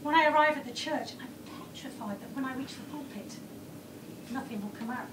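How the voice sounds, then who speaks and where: A woman narrates her story in the present tense for a theatre audience, her voice high but often sinking to a hush. She is heard from well back in a reverberant room with noise in the background. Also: fairly quick, far from the mic. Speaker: performer. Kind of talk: first-person theatrical storytelling. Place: theatre stage.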